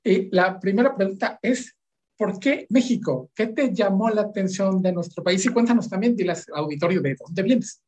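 A man with a Mexican accent co-hosting a radio show, energetic and upbeat. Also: high voice, moderate pace. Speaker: radio co-host. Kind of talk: radio interview.

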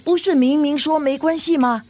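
A woman's voice: sits high, steady tone, normal speed, dark tone, lightly acted. An actress playing a meddling, matchmaking older mother in a radio drama, fussy, insistent and prying, with a Singaporean accent. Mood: scolding.

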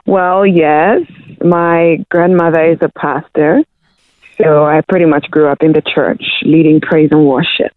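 A woman's voice: low-pitched, fairly even intonation, a little slow, no echo. Woman on a tinny phone line. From Zimbabwe. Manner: hesitant, many ums. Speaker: guest singer-songwriter. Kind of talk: casual interview.

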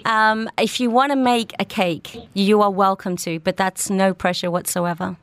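A woman's voice: medium pitch, fairly even intonation, normal speed, clean tone, no room sound. A woman hosting a radio talk show, chatty and enthusiastic as she talks with her guests. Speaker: radio host. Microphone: studio microphone.

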